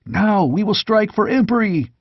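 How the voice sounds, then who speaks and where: A fervent, devoted knight, as a male narrator acts him out in a fantasy audiobook. His voice is lifted high and exclamatory, ringing with devotion to his king. The recording is close and slightly dull. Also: expressive, moderate pace, no echo. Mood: battle fervor. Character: excited young knights hailing their lost king, loud, fervent.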